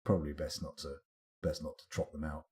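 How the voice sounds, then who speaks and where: A man's voice: a bass voice, flat delivery, a little fast, mellow. A man, chatting and rambling while he streams a game late at night and answers his chat, sounding tired. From England. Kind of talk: casual live-stream chat.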